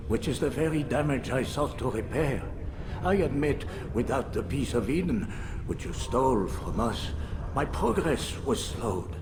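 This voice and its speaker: An actor playing a dying, self-righteous zealot doctor in a video game, talking in a theatrical, measured, rhetorical way. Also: male voice, medium pitch, noisy background. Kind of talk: video game cutscene acting.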